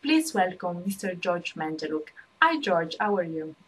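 A woman's voice: lowish voice, big pitch swings, normal speed, low-quality recording. A young woman presenting a scripted interview as the host. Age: young adult. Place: indoors against a plain wall. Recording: noticeable background noise and ambience.

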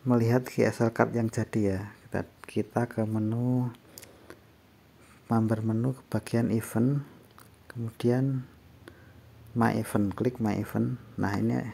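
A man gives a casual step-by-step software tutorial, explaining in a halting way. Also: mid-range voice, gravelly. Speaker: tutorial presenter. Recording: faint background noise.

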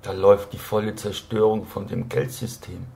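A man's voice: fairly low pitch, fairly even intonation, normal speed, vocal fry, a little hiss or hum. A man narrating a scripted, dramatic monologue and story as a storyteller. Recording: loud music over the voice.

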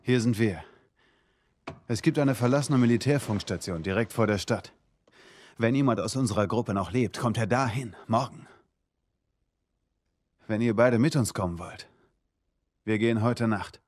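A man's voice: fairly low pitch, normal speed, pauses often, darkish tone, natural voice. Actor as confident young male survivor, noisy backdrop. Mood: resolute. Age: young adult. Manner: informal, assertive. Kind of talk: dramatic video game acting.

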